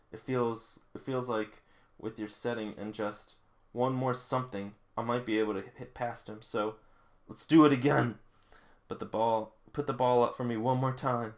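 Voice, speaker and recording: Man voicing an earnest, low-voiced teen volleyball boy. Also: close to an everyday voice. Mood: determined. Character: eager, determined teenage boy volleyball player.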